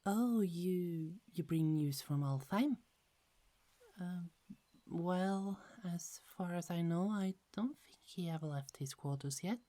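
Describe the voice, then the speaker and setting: A woman playing a soft-spoken young goddess. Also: lowish voice, slow. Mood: friendly. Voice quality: soft, half-whispered, giggly. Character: gentle young goddess gardener, chatty. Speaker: ASMR roleplay actor. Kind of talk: ASMR roleplay.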